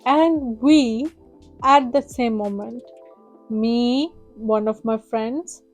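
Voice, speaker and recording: Young Hindi-native woman vlogger, higher voice, mellow tone, chatty with fillers. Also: extremely slow, faint music behind. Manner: chatty, many "like" fillers, acts out quotes. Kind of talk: casual storytelling to camera.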